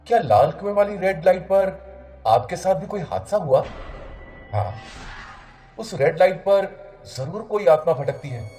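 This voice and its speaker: Man playing a mellow-voiced, worried family father. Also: high-pitched, animated, unhurried, soft background music. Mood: anxious. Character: worried, impatient middle-aged family father.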